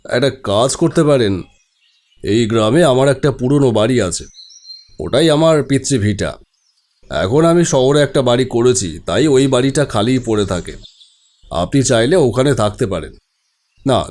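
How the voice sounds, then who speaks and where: A man playing a courteous male village headmaster in an animated story, reassuring and explaining things helpfully. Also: mid-range voice, pitched below the performer's own voice, lightly acted.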